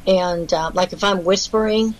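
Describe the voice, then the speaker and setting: A woman's voice: on the low side, steady tone, normal speed, rough recording. A woman in casual conversation, explaining at length with many restarts while recovering from losing her voice. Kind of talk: casual chat.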